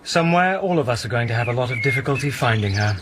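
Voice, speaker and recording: A man voicing a bitter, curt husband at his front door in a radio play. His voice sits mid-pitch, with noise behind it. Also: animated, quick pace, natural voice. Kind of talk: radio drama acting. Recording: radio drama with background noise.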